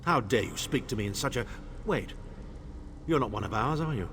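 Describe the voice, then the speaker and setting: A man's voice: mid-range voice, expressive, moderate pace, fairly bright tone, a little hiss or hum, natural voice. An actor playing a pompous, scornful older male imperial chancellor in a video game, with a haughty, theatrical, condescending manner. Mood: imperious, intrigued. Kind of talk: video game character acting.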